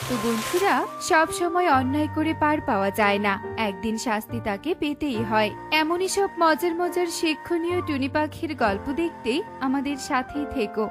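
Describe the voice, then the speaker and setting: High-pitched woman narrating a children's cartoon. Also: animated, moderate pace, music in the background. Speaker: story narrator.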